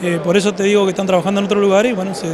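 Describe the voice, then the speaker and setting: A middle-aged man with an Argentine Spanish accent, a cooperative member interviewed at a trade fair, speaking haltingly with many restarts. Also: high-pitched, level tone, a crowd in the background. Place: indoor exhibition hall. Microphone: handheld interviewer microphone. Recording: news camera at noisy expo.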